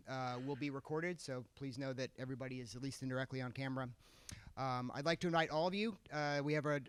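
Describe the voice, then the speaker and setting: A man opens a conference session with housekeeping notes and a speaker introduction. His voice is fairly high and smooth, recorded dry, and he keeps things moving with frequent filler sounds. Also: a bit bright, dry recording. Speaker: session moderator. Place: conference hall.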